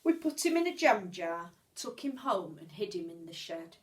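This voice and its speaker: A woman reading a children's story aloud like a teacher, expressively, with measured pauses and stretched words. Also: fairly low pitch, very animated.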